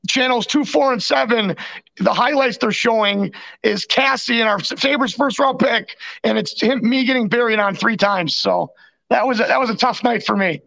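A man's voice: very high-pitched, moderate pace, creaky, pushed and bright, poor audio. A young North American man chatting casually about sports as a podcast co-host, often restarting his sentences. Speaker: podcast co-host and former hockey player.

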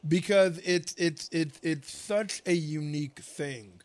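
A man's voice: a little high, takes it slowly, darkish tone. A man who makes documentaries, speaking in conversation in an emphatic, thoughtful way with blunt wording. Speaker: documentary maker and interviewer. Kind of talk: reflective conversation.

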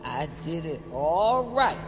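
A woman's voice: deep voice, sing-song, slow, heavily acted. An actress playing a needy, tipsy, possessive mother in an audio drama, clingy, wheedling and rambling. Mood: bitter self-pity. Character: needy, tipsy, possessive mother, bitter about her husband. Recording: music behind the voice.

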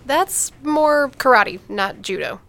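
A woman's voice: high-pitched, animated, slow, gravelly, a bit bright, heavily acted. A woman acting as a candid, teasing older sister in an audio drama, talking in a natural, blunt way. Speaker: actor.

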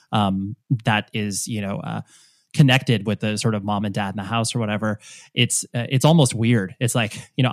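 Rambling man hosting a podcast. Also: lowish voice. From United States. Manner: rambling, many restarts and fillers. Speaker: podcast host. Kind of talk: casual interview chat.

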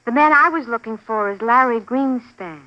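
A woman's voice: on the high side, moderate pace, dull audio, natural voice. A woman playing a coolly polite young undercover government agent in 1950s radio drama style. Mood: confessing. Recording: band-limited vintage 1950s radio recording.

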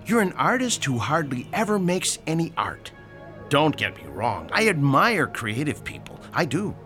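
A man's voice: on the high side, sing-song, moderate pace, soft background music. An actor playing a pushy, sarcastic older landlord in a radio drama, lecturing his tenant about rent. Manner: sarcastic, lecturing, theatrical swings from shouting to hushed.

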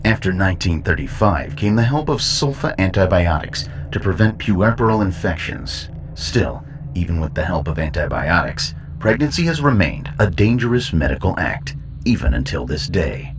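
A man narrating a scripted horror report in a formal, measured manner. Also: sits low, sing-song. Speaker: narrator and host.

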